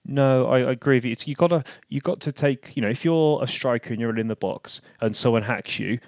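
Male football podcaster, earnest, halting with ums, dry close recording. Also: mid-range voice, dry recording. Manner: hesitant, many "um" fillers, earnest. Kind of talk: casual football chat.

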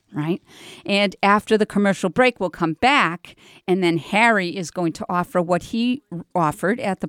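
A woman radio host speaks at a middling pitch in a dry, close studio sound. Her delivery is informal and effusive, rambling along as she improvises a live community program. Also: no room sound.

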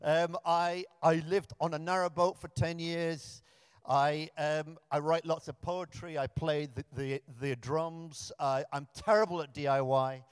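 A man in his thirties, raised in Africa, preaching in a casual, self-deprecating way and often restarting his sentences. His voice sits a little high for a man. The church around him leaves a long, ringing reverb. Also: steady tone, a little slow, smooth. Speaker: preacher, pioneer minister. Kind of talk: sermon with storytelling.

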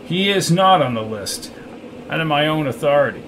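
A man's voice: fairly high pitch, animated, normal speed, a bit bright, rough recording. A man voicing a firm, loyal rebel sector leader in an audiobook, terse and assertive, over faint hum.

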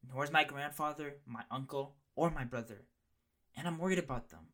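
A woman's voice: deep voice, brisk, fairly bright tone. An actress playing a wary, worried young male lover in a radio play, evasive and devoted to family. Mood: grave, worried.

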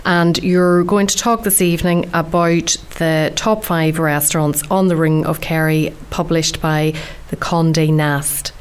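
Irish woman radio presenter, low voice, friendly and steady. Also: measured pace, few pauses, smooth. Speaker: radio host.

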